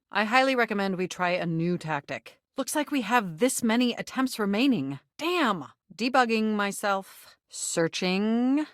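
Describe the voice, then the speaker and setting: Polite, animated geeky computer. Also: female voice, mid-range voice, no echo, studio quality. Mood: focused. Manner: formal, precise wording with bursts of giddy slang. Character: helpful, earnest female computer, polite, geeky, excitable. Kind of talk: video game character voice acting.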